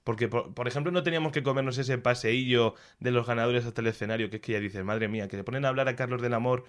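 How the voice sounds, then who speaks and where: Castilian Spanish man, expressive, colloquial talker. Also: mid-range voice, quick pace. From Castilla-La Mancha, Spain. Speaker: culture commentator. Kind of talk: casual conversational review.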